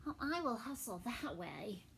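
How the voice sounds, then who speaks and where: A woman voicing a small, eager bear cub, speaking in a hurried, fretful way. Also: fairly high pitch, sing-song, vocal fry, a bit bright, a little hiss or hum, heavily acted.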